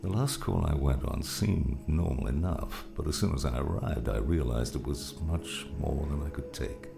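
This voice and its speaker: Man voicing a gravelly, very deep old mountaineer mentor. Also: normal speed, music in the background, exaggerated voice. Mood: somber, reminiscing. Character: gruff older male mountaineer mentor, wry and reflective.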